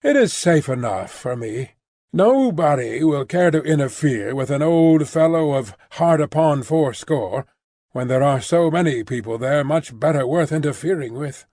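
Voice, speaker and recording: An elderly, loyal banker from a classic English novel, acted out by a male audiobook reader. He speaks in a raised male register with brisk, emphatic, old-fashioned phrasing and stubborn good cheer. The sound is very dry. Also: a little high, expressive, dark tone, no echo. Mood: cheerful confidence. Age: late seventies. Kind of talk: audiobook character dialogue.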